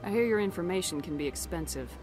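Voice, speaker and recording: A woman's voice: fairly low pitch, lively intonation, fast talker, lightly acted. Woman as composed, direct military heroine. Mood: direct, businesslike. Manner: direct, firm. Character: composed, assertive female military hero, fair-minded. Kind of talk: video game character acting. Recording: game music behind the voice.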